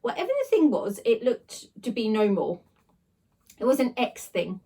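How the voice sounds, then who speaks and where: Female children's story narrator, teacherly: animated, dramatic, slightly high, a bit off-mic. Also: moderate pace, breathy edge. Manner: dramatic, expressive, emphatic sound words. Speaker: teacher reading aloud. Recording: voice a little distant from the microphone.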